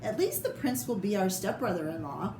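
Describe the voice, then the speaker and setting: A woman reading a storybook, voicing overexcited fairy-tale stepsisters with loud, shrill exclamations. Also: sing-song, fairly quick. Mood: consoling. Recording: echoey room with background noise.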